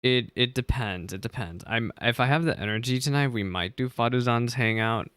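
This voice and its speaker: A man casually streaming a game, chatty and excitable, repeating himself often as he talks. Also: mid-range voice, moderate pace, smooth, no echo, clean studio sound. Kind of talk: casual livestream commentary.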